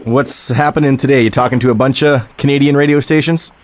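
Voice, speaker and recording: A man with a mid-pitched voice hosting a rock radio interview. He puts his questions in an easy, conversational way, with a faint hiss of noise behind him. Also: fairly even intonation, quick pace. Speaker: radio host.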